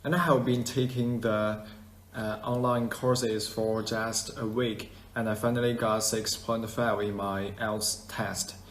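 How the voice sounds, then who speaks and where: A man's voice: lowish voice, level tone, slow pace. A man in his twenties from China with a Chinese accent, introducing himself to camera as a student. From Shandong, China. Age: 20s. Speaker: online student. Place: small indoor room. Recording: echoey room, noticeable noise.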